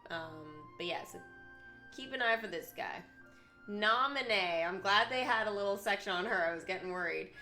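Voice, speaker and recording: A woman streamer reading character profiles aloud and breaking into chatty, excitable asides to her viewers. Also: medium pitch. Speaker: live streamer.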